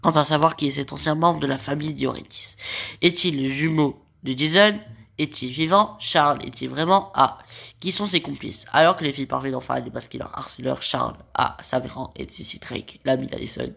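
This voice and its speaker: A man's voice: on the high side, fairly quick, gravelly, fairly bright tone. A man with a cold summarizing a TV series plot for a video, often stumbling over his words and correcting himself. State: has a cold. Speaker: video creator. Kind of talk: series recap narration.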